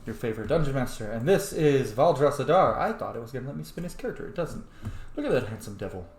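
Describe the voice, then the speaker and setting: A man's voice: medium pitch, very animated, fast talker, roomy sound, a little hiss or hum. A man giving casual, informal let's-play roleplay commentary with some swearing. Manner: informal, profane. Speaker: streamer, self-styled dungeon master.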